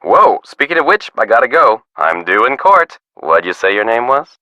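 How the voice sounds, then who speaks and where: A man's voice: medium pitch, sing-song, normal speed, sharp tone, muffled recording, a little hiss or hum. An actor playing a breezy, slick man after a settlement in a video game, glib and showy. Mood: breezy, smug. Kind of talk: video game character voice lines.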